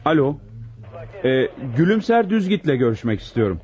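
A man's voice: fairly high pitch, big pitch swings, moderate pace, a little mellow. A man acting a deferential, earnest police deputy in a radio drama, speaking respectfully and attentively. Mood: polite, businesslike. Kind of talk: Turkish radio theatre acting. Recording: dull, lo-fi radio recording.

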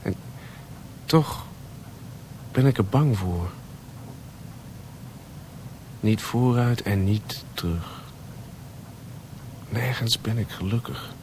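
An actor playing a restless, bitter and scheming ship's officer in a radio play. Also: male voice, lowish voice, slow pace, pauses often, a little mellow. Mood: despairing. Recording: noisy old radio play recording.

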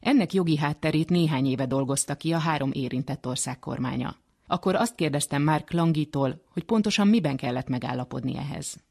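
A man's voice: on the high side, gravelly, darkish tone. A man presenting a radio science documentary, reading scripted narration.

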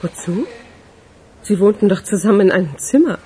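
A woman's voice: mid-range voice, animated, normal speed, warm tone, slightly rough. A woman playing a curt, unimpressed police employee questioned as a witness in a German radio crime drama, dryly questioning back. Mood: dry defiance.

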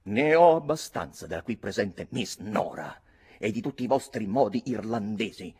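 Haughty English captain played by a man, slightly husky, sarcastic, ceremonious, widely inflected, storybook rival. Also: lowish voice, lively intonation, normal speed, a little mellow. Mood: exasperated.

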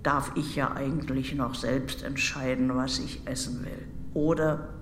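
A man's voice: mid-range voice, little pitch movement, a little slow, a bit bright, echoey room, big-room sound, a little hiss or hum. A man talking in a spontaneous, reflective way. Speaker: participant in an audio theatre piece.

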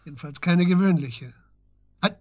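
A probing, restrained police detective in a radio drama. His voice sits a little high for a man. He chooses his words with some hesitation and keeps a matter-of-fact, measured tone. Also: darkish tone. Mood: tense, factual. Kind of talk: naturalistic German radio drama acting.

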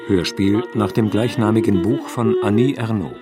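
A man's voice: on the low side, moderate pace, over music. A man announcing the title of a radio play in a scripted style.

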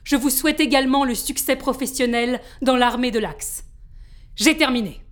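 A woman's voice: high-pitched, normal speed, lightly acted. A woman playing a stern, authoritarian factory director who gives formal, commanding announcements in an audio drama. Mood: stern, official. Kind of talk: official public announcement.

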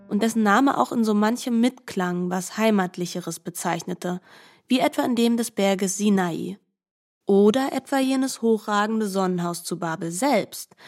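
Composed woman narrator with medium-pitched voice. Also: a little mellow. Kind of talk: literary scripted narration in a radio play.

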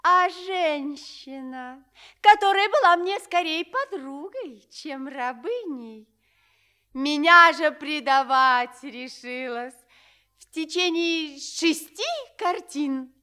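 An actress playing a proud, capricious fairy-tale princess softened by love, declaiming with dramatic pauses in a radio play. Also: female voice, sits high, heavily acted. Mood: solemn, reflective. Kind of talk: theatrical monologue in a radio play.

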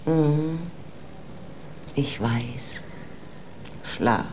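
A woman playing a soothing, practical, protective mother figure in a children's radio drama, talking in a hushed voice that often turns to whispering. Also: very deep voice, drawn-out words, heavy background noise, full character voice. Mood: soothing, sleepy. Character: soothing, practical wife called Mama, gently protective.

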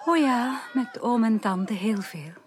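An actress playing a melancholy, earnest young upper-class woman in a Dutch radio drama, speaking formally and softly. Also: on the high side, lively intonation, slow, a crowd in the background, noisy background. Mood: polite, tentative. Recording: radio drama with music underneath.

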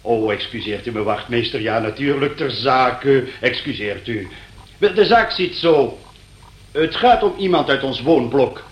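An actor playing a fussy busybody neighbour in a radio play, flustered, officious and apologetic, often repeating himself. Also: male voice, medium pitch, animated, a little slow, roomy sound, lightly acted. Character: fussy, busybody male neighbour phoning the police.

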